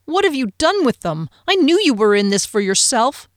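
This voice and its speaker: A woman voicing an agitated male elf who hurls heated, shrill accusations. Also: big pitch swings, moderate pace, no room sound, clean studio sound. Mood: accusing.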